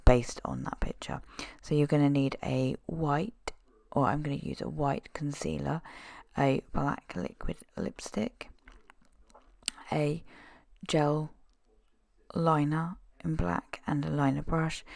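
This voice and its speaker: Woman with a cold, husky and deeply gravelly, in a dry close voice-over for a makeup tutorial. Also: darkish tone, no room sound. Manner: chatty and self-deprecating, with frequent ums. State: has a cold. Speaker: makeup vlogger.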